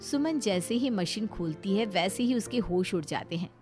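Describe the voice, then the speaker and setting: A woman's voice: mid-range voice, animated, normal speed, darkish tone, soft background music. A woman narrating a scripted story as a storyteller.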